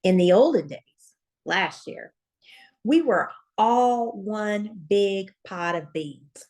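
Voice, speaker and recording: A woman storyteller reads a children's book aloud. Her delivery is slow and deliberate, with very lively swings in pitch. She phrases dramatically and leaves emphatic pauses. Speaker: storyteller, school principal reading aloud. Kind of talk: expressive children's picture-book read-aloud.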